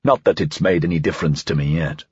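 A man's voice: very deep voice, quick pace, darkish tone, husky, dry recording, highs cut off, voice pushed down, full character voice. A man voicing a weary, stern senior prefect in an audiobook, speaking in a terse, dry, formal way. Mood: guarded, curt. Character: weary, stern male senior police prefect, dryly sardonic. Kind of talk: audiobook character dialogue.

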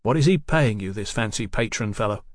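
Pompous, commanding gentleman played by a man. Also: lowish voice, expressive, fairly quick, dry recording, studio quality, lightly acted. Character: self-assured gentleman doctor, haughty and generous.

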